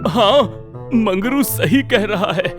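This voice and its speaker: A man's voice: high-pitched, expressive, slow pace, music in the background. A man playing an aggrieved village man in a cartoon story, exclamatory and complaining. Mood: accusing.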